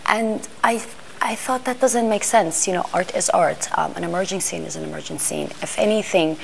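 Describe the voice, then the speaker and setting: A woman's voice: lowish voice, moderate pace, creaky, slight background noise, low-quality recording. A middle-aged woman from Iran, raised in Greece and the US, speaking thoughtfully in an interview, with frequent restarts and fillers as she searches for words. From Iran, raised in Greece and Washington D.C. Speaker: art fair panel organizer. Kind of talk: interview monologue.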